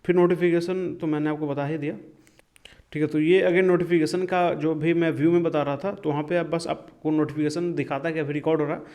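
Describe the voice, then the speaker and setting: A man's voice: on the high side, steady tone, fairly quick, darkish tone. A man from India giving a casual step-by-step tutorial in a conversational, explanatory way, often checking in with the listener. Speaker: tech tutorial video host.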